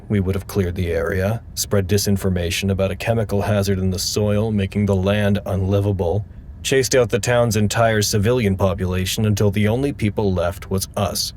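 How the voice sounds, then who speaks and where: Over audible background ambience comes a world-weary man, played by an actor in an audio drama. His voice sits fairly low, with a wry, naturalistic delivery. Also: darkish tone, a little hiss or hum, lightly acted.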